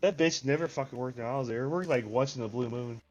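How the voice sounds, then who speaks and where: A man's voice: mid-range voice, fast, highs cut off. A young American man in casual, emphatic chat, repeating words for emphasis. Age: young adult. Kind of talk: casual chat, swearing banter. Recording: faint background noise.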